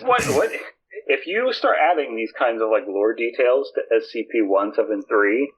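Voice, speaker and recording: A man co-hosting a podcast in casual, halting, animated banter with some swearing. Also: mid-range voice, a little hiss or hum. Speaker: podcast co-host. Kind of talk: casual comedic podcast chat. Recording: dull lo-fi voice audio.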